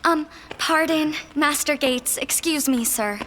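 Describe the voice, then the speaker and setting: An actress playing a deferential young apprentice in an audio drama, speaking hesitantly and respectfully. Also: female voice, high-pitched, slow pace, a bit bright, a little hiss or hum.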